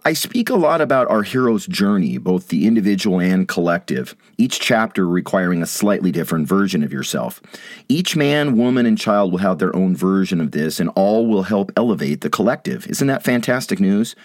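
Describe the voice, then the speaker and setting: Middle-aged podcast host, hoarse with a cold, earnest and uplifting, deep voice, dry close recording. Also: male voice, animated, normal speed, dry recording. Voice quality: raspy. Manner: earnest, inspirational. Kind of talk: podcast monologue and interview.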